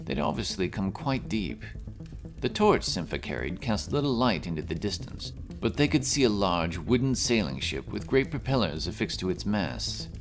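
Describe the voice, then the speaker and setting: A man's voice: on the low side, very animated, normal speed, over music. A man narrating a scripted fantasy adventure story as the narrator of an audio drama.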